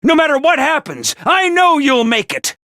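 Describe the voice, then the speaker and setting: A man's voice: extremely high pitch, wildly swooping pitch, moderate pace, pushed and bright, no echo, clean studio sound, full character voice. A man voicing a manic, mischievous male mascot commander in a game, talking in a hyper, theatrical and gleeful way. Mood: rousing cheer. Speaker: game character voice lines. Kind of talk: game voice acting.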